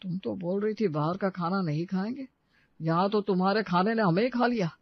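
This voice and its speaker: A sarcastic, grumbling middle-aged husband, as a man plays him, with a fairly high voice. He sounds tired of his wife's schemes. The sound is dry and dull, like a studio voice-over. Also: brisk, no echo.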